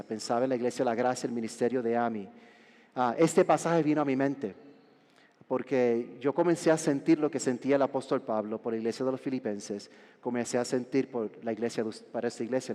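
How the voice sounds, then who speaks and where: A Puerto Rican man in his forties, a pastor preaching a sermon with joking asides and halting restarts. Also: mid-range voice, darkish tone. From Puerto Rico. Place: large church hall. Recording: reverberant church hall.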